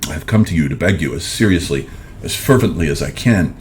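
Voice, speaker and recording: A man's voice: rumbling low, lively intonation, brisk, slight background noise. A man reading a storybook voicing a fat, timid middle-aged lawyer who pleads in a shaking, half-smothered voice. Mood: pleading, tearful. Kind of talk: audiobook character reading.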